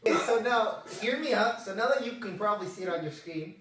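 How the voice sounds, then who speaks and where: A distant, very echoey recording of a young man from Mexico chatting casually. His voice sits fairly high for a man, and he tells stories animatedly, playing out both sides of each exchange. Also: animated, fast, a bit bright, a little hiss or hum. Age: young adult. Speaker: podcast host. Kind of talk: casual storytelling chat. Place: echoey indoor room. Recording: echoey room, distant from mic.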